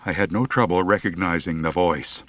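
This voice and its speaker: A man's voice: deep voice, moderate pace, a bit bright, no echo. A man narrating a humorous first-person audiobook story in a wry, theatrical manner.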